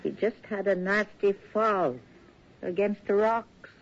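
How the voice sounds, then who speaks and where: An actress playing a soothing, motherly older woman in a radio horror drama, placating and deliberate, on an old recording. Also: mid-range voice, fairly even intonation, lo-fi sound.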